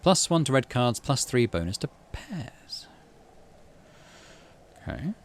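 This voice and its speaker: A man's voice: mid-range voice, sing-song, slow pace. A man hosting a gaming playthrough, musing aloud casually and reading game text as he goes. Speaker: gaming channel host. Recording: faint background noise.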